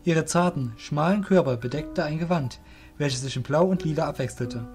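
A man's voice: on the high side, normal speed, darkish tone, faint music behind. A man narrating a scripted fantasy audio drama as its storyteller.

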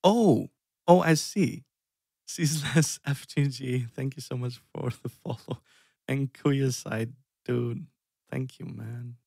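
Slow-talking gravelly man streamer. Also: mid-range voice, a little mellow, no room sound, studio quality. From Iceland. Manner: rambling, self-deprecating, chuckles often. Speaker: music streamer. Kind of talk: casual live-stream chat. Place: dark room indoors. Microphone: headset.

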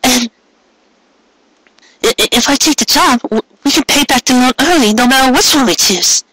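A storyteller voicing a distressed, devoted young female pony who pleads in halting, stammering phrases. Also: sits high, bright, forceful tone, no echo, voice pushed up, rough recording. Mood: anxious, hopeful.

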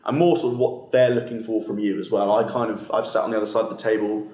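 Young student guy, low flat voice, earnest, roomy echo. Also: fast talker. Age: young adult. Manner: earnest, fluent, with some fillers. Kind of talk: open day panel talk. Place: university meeting room. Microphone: far camera. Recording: echoey meeting room.

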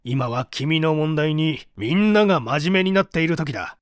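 A man's voice: a little high, moderate pace, smooth, no echo, studio quality, lightly acted. A man playing a stern, relentless prosecutor with an authoritative, pressing manner in a dramatised mystery reading. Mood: angry, accusing. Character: stern male prosecutor, relentless, accusing interrogator. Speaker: audiobook reader.

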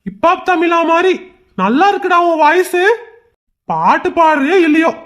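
A woman's voice: extremely high pitch, very animated, normal speed, a bit bright, heavily acted. A doting, teasing dad, voiced by a woman. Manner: teasing, affectionate. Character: teasing but doting father.

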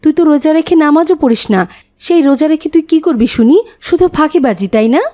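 Woman as a scolding mother, high mellow voice. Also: animated, a little fast. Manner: nagging, reproachful.

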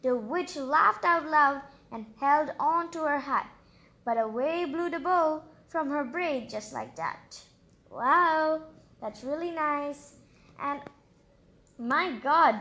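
A woman's voice: high voice, lively intonation, takes it slowly, pushed and bright. A woman reading a children's storybook aloud expressively, breaking off for chatty, exclamatory asides of her own. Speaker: storybook reader and channel host.

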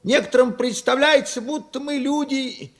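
An actor playing a weary, forgetful old man of seventy-eight in a radio play, halting, wistful and husky. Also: very high-pitched. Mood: wondering, insistent. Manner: halting, musing, swinging from murmurs to outbursts. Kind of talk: Soviet radio play acting.

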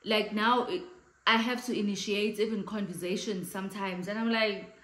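A woman's voice: medium pitch, moderate pace, echoey room, low-quality recording. A South African woman in her late thirties with a big voice, chatting casually to camera in her living room and gesturing as she talks. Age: late 30s. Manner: chatty, animated hand gestures, mixes in Xhosa phrases. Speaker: vlogger. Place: living room, indoors, in front of a sofa.

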